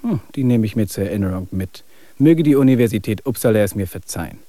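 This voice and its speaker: An actor in a radio play as a wry, weary male traveler, speaking in an even, reflective way. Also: on the low side, fast talker, no room sound, close to an everyday voice. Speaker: main character.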